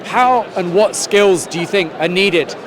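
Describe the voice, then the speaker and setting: Man interviewer, high-pitched, short questions, hesitant restarts, crowd chatter behind. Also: unhurried. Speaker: interviewer, trade journalist.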